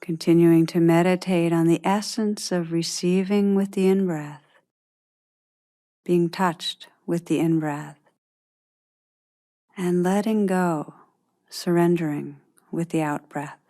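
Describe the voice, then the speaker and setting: Slow, low-pitched woman guiding meditation. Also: fairly even intonation. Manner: gentle, unhurried, long pauses. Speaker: meditation guide. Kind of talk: guided meditation.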